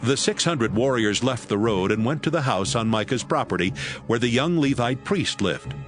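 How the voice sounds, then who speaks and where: A man narrating scripture in a steady, measured way. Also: medium pitch, soft background music. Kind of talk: scripted audio Bible narration.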